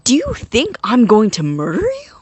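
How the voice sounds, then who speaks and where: A woman playing a nervous, awkward young scientist in a naturalistic audio drama, husky and halting, easily flustered. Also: medium pitch, huge pitch swings. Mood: shocked, indignant. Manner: halting, stammering, indignant when challenged.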